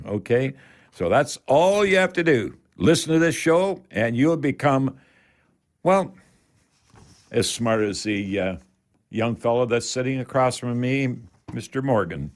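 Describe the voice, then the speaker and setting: Folksy older Canadian man hosting radio. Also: on the low side. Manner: folksy, rambling, repeats words for emphasis. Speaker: host of a home improvement radio show. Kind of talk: folksy live radio talk with product tips.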